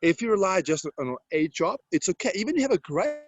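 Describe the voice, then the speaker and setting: A man's voice: high-pitched, big pitch swings, quick pace, highs cut off. A man from Serbia with a Serbian accent, speaking English as a second language, answering interview questions as a confident property mentor in broken grammar. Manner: confident sales-coach delivery, broken grammar.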